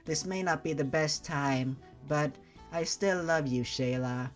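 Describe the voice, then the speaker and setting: A man voicing a tender young man who comforts his girlfriend, speaking softly and slowly. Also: a little high, faint music behind, lightly acted. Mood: gentle. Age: young adult. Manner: soft, slow and hushed.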